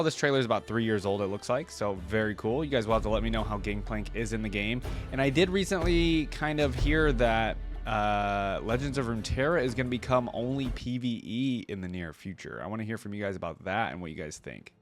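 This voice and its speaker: A man giving casual reaction commentary as a host, conversational and thinking aloud. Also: medium pitch.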